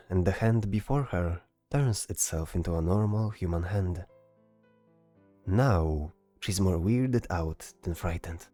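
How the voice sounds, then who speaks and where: A man's voice: deep voice, mellow, dry recording. A man reading scripted narration for a fantasy story as its narrator. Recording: music behind the voice. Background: music.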